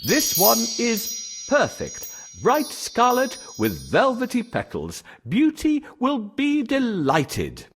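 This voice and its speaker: A man playing a devoted, pleading old merchant father in an animated storybook tale, speaking dramatically. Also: extremely high pitch, takes it slowly, a little hiss or hum, full character voice. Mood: delighted.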